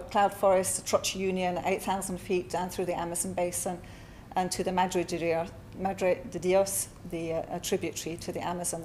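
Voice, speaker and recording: Spanish-accented woman presenting, halting delivery. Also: on the low side, darkish tone, a little hiss or hum. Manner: halting, with frequent fillers and self-corrections. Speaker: artist presenting at a symposium. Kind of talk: conference talk with slides. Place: lecture hall.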